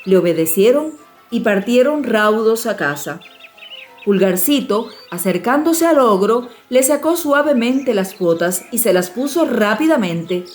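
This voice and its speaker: A woman's voice: on the high side, expressive, unhurried. A woman reading a classic fairy tale as an audiobook narrator, in scripted storytelling style. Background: soft music.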